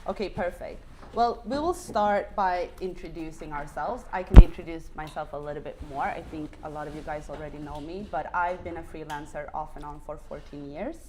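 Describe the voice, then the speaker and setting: A woman's voice: low voice, a little fast, noisy background. Woman moderating a live panel. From Sweden. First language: Swedish. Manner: informal, upbeat, with fillers.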